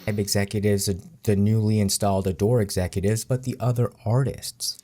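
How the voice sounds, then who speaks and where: A man giving casual commentary in a deliberate, measured way. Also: lowish voice, steady tone, mellow. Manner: deliberate, measured delivery with occasional laughs. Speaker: co-host commentator.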